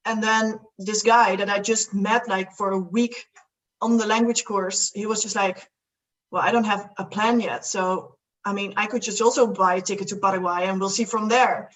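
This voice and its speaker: A woman's voice: medium pitch, fairly even intonation, normal speed, recorded from a distance, rough recording. Young Dutch woman guest, casual rambling chat, sits a little back from the mic. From Netherlands. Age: young adult. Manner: rambling, many fillers and restarts, laughs often.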